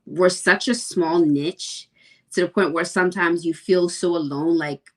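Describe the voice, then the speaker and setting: A woman's voice: fairly low pitch, fairly even intonation, unhurried. A young adult woman hosting a podcast, enthusiastic and loose, with many fillers. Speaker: podcast host.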